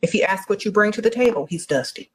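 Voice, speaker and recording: A woman's voice: medium pitch, lively intonation, quick pace, heavy background noise. A woman giving blunt, emphatic advice, leaning on repeated catchphrases.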